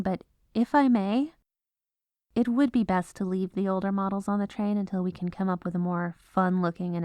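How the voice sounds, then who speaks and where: A woman's voice: medium pitch, no echo, lightly acted. A woman playing an exasperated, businesslike head lawyer at the North Pole in a scripted comedic audio roleplay. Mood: brighter, earnest. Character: exasperated, dutiful head legal advisor at Santa's North Pole.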